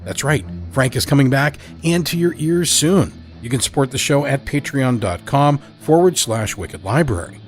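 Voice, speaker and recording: A man delivering a scripted podcast introduction as host, in a measured, deliberate manner. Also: medium pitch, animated, faint music behind.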